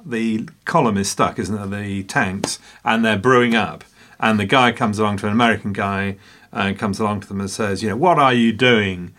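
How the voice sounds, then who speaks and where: A man's voice: lowish voice, moderate pace, a bit bright. A man co-hosting a film review podcast, making wry, dryly humorous remarks and acting out snatches of mock dialogue. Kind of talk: casual film review chat.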